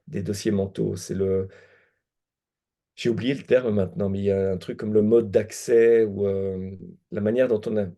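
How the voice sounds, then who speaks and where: A man from Belgium, a guest philosopher, thinking aloud in a measured, hesitant way during an academic discussion. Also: fairly low pitch, steady tone, mellow.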